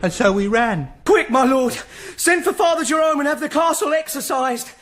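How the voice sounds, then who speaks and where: An actor playing a foolish, superstitious castle guard in a gothic audio drama, breathless and excitable. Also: male voice, very high-pitched, moderate pace. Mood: frightened, excited.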